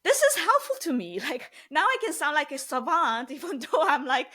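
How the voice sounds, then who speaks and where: A woman's voice: high-pitched, normal speed. A woman in her forties with a Romanian accent, a non-native English speaker talking animatedly on a video call as an interview guest. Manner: animated, talks with her hands, uneven tempo. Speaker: guest, AV production business owner. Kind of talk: casual interview chat. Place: home office with lit bookshelves.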